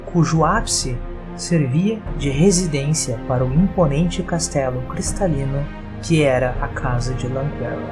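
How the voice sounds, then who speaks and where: A Brazilian man narrates a scripted story in a fairly high voice for a male. Music plays clearly behind his reading.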